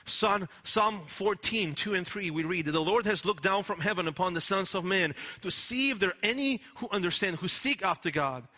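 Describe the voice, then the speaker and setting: Pastor preaching, earnest and emphatic, pretty high bright voice. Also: male voice, expressive, moderate pace, hardly any pauses. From Romania. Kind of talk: sermon.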